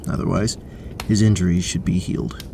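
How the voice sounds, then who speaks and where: A man's voice: low-pitched, fairly even intonation, fairly quick, gravelly, noisy background. A man playing a stoic, dutiful paladin in a fantasy audio drama, terse and formal. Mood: stoic, grave.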